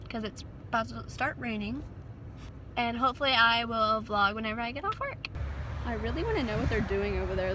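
A woman's voice: a little high, pushed and bright. A young woman, talking casually to her phone camera in her car, rambling and bubbly with lots of "like" fillers. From Texas. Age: young adult. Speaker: vlogger. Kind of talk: casual chatty vlog. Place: parked and driving car. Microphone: phone camera held at arm's length. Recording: phone audio with car and street noise.

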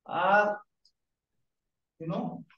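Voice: medium pitch, very slow. Classroom speaker relaying answers, echoey room, dull lo-fi sound. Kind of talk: classroom video call.

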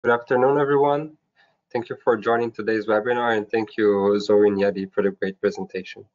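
A man's voice: lowish voice, steady tone, fairly quick, darkish tone. A man giving a formal, scripted slide presentation in a webinar, going through his points step by step. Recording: dull, lo-fi online webinar audio.

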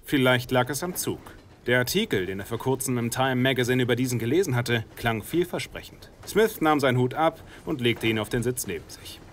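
A man's voice: medium pitch, a little fast, a little hiss or hum. A man reading a scripted comic detective short story as an audiobook narrator.

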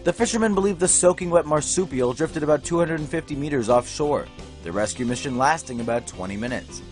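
Animated, higher-voiced male news narrator. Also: brisk, soft background music. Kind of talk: scripted narration of light news.

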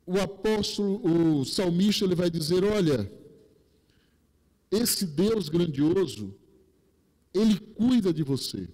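Slow, high-voiced Brazilian preacher. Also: male voice. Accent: Rio de Janeiro Brazilian Portuguese. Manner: slow, deliberate preaching with emphatic drops in pitch; gestures broadly while preaching at a pulpit. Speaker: pastor. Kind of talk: sermon. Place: large church sanctuary, indoors. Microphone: headset mic. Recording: long church hall reverb.

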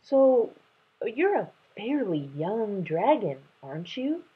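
Woman as a kindly, husky mother lion. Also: medium pitch, big pitch swings, slow pace, narrow, tinny audio, pitched above the performer's own voice, exaggerated voice. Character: kindly adult mother lion, gently scolding. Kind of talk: children's storybook read-aloud.

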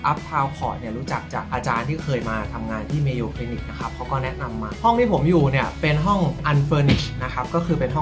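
A young man from Thailand, a medical intern, talking in a casual, polite vlog style and explaining things step by step to a handheld phone camera. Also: a little high. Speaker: medical intern vlogging his research stay. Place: indoors in a library and outdoors in snow. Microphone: handheld phone camera, selfie framing. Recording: voice over background music.

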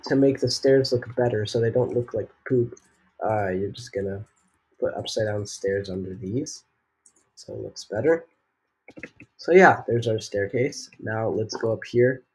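A man's voice: mid-range voice, a little mellow, husky, poor audio. A teenage boy voicing a casual building tutorial while sick, rambling with fillers and jokey asides. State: sick, voice a bit off. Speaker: gaming tutorial host.

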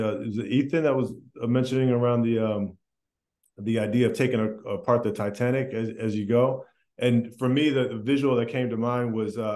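A middle-aged man from Minneapolis with a Midwestern American accent, a PhD student speaking on a video call, halting with many fillers and restarts. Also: mid-range voice, darkish tone. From Minneapolis, United States. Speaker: PhD student, panel attendee. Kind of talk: casual spoken comment on a video call. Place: small bare room. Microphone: video call webcam. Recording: echoey room.

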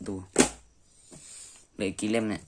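A man chatting informally and unscripted as an amateur reviewer, thinking aloud in a room at home. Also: moderate pace. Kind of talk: casual unscripted review chat. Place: messy room indoors. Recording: clearly noisy amateur home recording.

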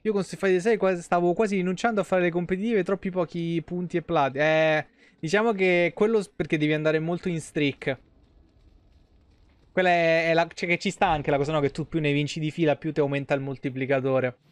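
A man's voice: fairly high pitch, fairly even intonation, fairly quick, smooth, dry recording. A man, giving casual, joking, foul-mouthed live-stream commentary. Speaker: video game streamer. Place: small home room, indoors.

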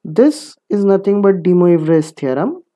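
A woman lecturing in mathematics, explaining step by step in a deliberate, instructive manner and posing questions that she answers herself. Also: fairly low pitch, animated, warm tone. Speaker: physics lecturer.

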